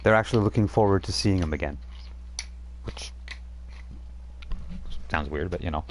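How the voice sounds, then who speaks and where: A man narrating as game master, relaxed and halting, with frequent fillers. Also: low-pitched, a little hiss or hum.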